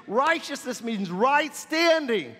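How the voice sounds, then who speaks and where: A man preaching a sermon as a pastor, leading call-and-response and building to emphatic shouts. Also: very high voice, big pitch swings, takes it slowly, breathy edge. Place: church sanctuary. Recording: long church reverb.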